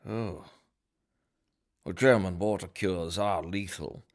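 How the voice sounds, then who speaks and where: A self-important Victorian doctor with a deep bass voice. He is brusque and callous, performed as period radio drama. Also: slow pace. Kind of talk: period radio play acting.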